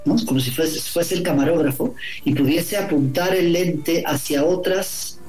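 A man's voice: on the high side, unhurried, a little mellow, soft background music. A middle-aged man from Guatemala, an author being interviewed, speaking reflectively and often repeating words as he searches for the right phrasing. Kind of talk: reflective conversation. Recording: roomy echo.

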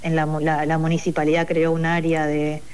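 A woman's voice: low voice, completely flat delivery, a little fast, darkish tone, a little hiss or hum. A woman with a Buenos Aires accent, explaining a project in an interview, hesitant, with many fillers and restarts. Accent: Buenos Aires Spanish. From Buenos Aires, Argentina. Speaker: project coordinator. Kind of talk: interview conversation.

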